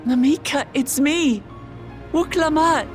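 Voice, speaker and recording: An actress playing an earnest, tender-hearted young heroine in a game cutscene, halting and emotional. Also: female voice, sits high, faint music behind. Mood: hopeful, pleading. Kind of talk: dramatic video game cutscene acting.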